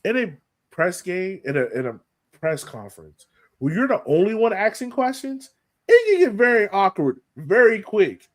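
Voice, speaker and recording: A man hosting a sports podcast, talking through a match in an animated, casual way and often checking his points with a quick "right?". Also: sits high. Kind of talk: casual match analysis chat.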